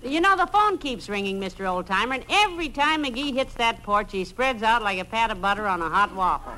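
A motherly, patient wife in a 1940s radio comedy, wry and soothing. Her voice is very lively in pitch, on a noisy old recording. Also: a little fast. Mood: wry, teasing.

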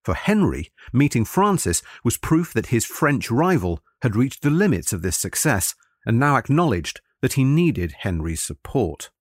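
Man, formal history narration, measured delivery, animated pitch movement, dry close recording. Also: slightly rough, no room sound. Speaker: audiobook narrator.

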